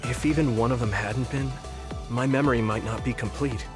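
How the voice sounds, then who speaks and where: Casting: actor as idealistic young man soldier, video game, mid-pitched, sincere and conversational, clear music behind. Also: fairly even intonation, fairly quick, natural voice. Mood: grateful, warm. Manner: sincere, conversational, slightly hesitant. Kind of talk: English video game voice acting.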